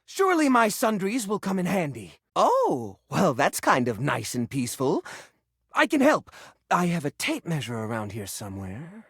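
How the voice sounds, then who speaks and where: A husky, gravelly voice for a flustered, helpful hoarder of little odds and ends. The delivery swings up and down, fussy and hesitant yet eager, in the manner of a video game sidekick. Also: female voice, low-pitched, huge pitch swings, normal speed, no echo, clean studio sound, heavily acted. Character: scatterbrained, nervous, eager-to-help keeper of odds and ends. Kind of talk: video game character acting.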